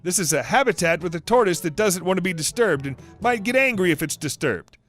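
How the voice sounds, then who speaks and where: Male story narrator, high voice, even matter-of-fact read. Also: fairly quick, faint music behind. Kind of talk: scripted story reading.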